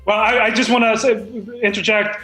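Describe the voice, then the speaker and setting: A man playing an earnest, self-important amateur screenwriter in an improvised comedy table read, with a halting, stammering delivery. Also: sits high, level tone, sharp tone, soft background music. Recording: roomy, echoey room sound.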